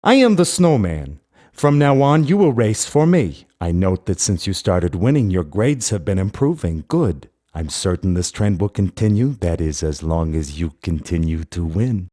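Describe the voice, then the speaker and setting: A cold, controlling crime boss from a video game. His voice is dark and fairly low, with wide swings in pitch, and he delivers veiled threats deliberately. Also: sing-song. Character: the Snowman, a cold, menacing male racing crime boss. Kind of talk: video game character dialogue.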